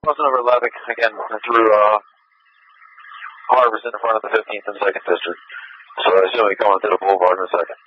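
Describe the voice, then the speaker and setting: A man's voice: fairly low pitch, steady tone, fast talker, a little hiss or hum. A male police officer giving terse, matter-of-fact live updates on a pursuit over a radio from the field. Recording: dull lo-fi police scanner radio.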